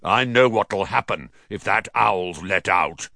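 A man's voice: low voice, takes it slowly, gravelly, pushed and bright, no echo, clean studio sound, full character voice. A man voicing a pompous, bullying middle-aged uncle who roars and snarls in a storybook reading. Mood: angry. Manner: roaring, snarling outbursts.

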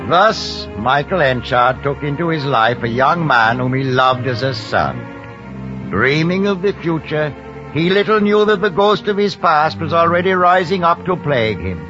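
A man's voice: on the high side, sing-song, measured pace. A man narrating a radio drama in a formal, theatrical 1940s storytelling style. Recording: dull vintage radio broadcast with music.

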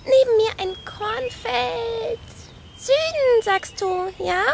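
A tiny grumpy mouse, extremely high and squeaky. It talks slowly and sleepily in a children's audiobook, with clear noise behind. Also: heavily acted. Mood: sleepy, pondering.

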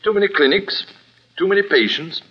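A man's voice: high voice, expressive, brisk, slightly rough. An actor playing a genial, teasing businessman friend in an old radio drama. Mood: teasing, breezy. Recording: dull vintage radio recording.

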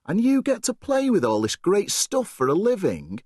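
A man's voice: sits high, huge pitch swings, moderate pace, a little mellow, dry recording, pitched above the performer's own voice, full character voice. A man voicing a frightened teenage boy who pants and babbles in panic. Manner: panicked, breathless. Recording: dry close recording.

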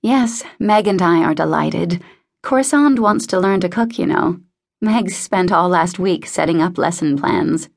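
A woman voicing a patient, unfazed female innkeeper in a novel, with a mild, gentle, diplomatic manner. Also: on the low side, a little slow, creaky, dry recording, clean studio sound. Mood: cheerful.

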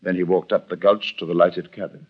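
A man narrating a frontier tale as a radio-drama storyteller, measured, theatrical and precise in his wording. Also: low-pitched, steady tone, slight background noise, natural voice. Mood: measured, dramatic. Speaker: narrator and character actor. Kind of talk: dramatic scripted storytelling, old-time radio. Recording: dull vintage radio recording.

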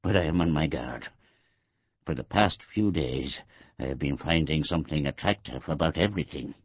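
An actor playing a dying old man in an audiobook monologue, gravelly and husky, musing wryly in halting phrases. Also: rumbling low, no room sound, lo-fi sound, full character voice. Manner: halting, ruminative, self-correcting in short phrases. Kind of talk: scripted audiobook narration, first-person literary monologue.

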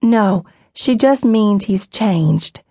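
A woman voicing a kind, gentle motherly woman who speaks soothingly. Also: medium pitch, expressive, measured pace, slightly rough, dry recording, clean studio sound.

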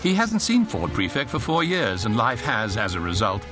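An actor as a wry, urbane narrator of a comic science fiction radio drama. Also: male voice, mid-range voice, huge pitch swings, over music. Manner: wry, precise, measured irony. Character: wry, urbane storybook narrator of galactic absurdities. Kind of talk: scripted narration, radio comedy.

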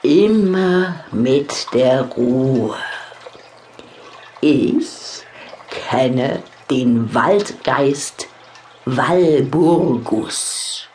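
A narrator voicing an easygoing female turtle who talks in a placid, drawn-out way for a children's story. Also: medium pitch, lively intonation, darkish tone, poor audio. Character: unhurried, easygoing female turtle, calmly helpful.

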